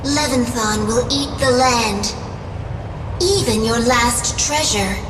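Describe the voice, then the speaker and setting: High-voiced, solemn young warrior princess. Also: female voice, takes it slowly, noisy background. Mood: grave despair. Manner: solemn, archaic theatrical phrasing. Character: brave, sorrowful young Valkyrie princess. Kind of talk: dramatic fantasy game voice acting. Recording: music behind the voice.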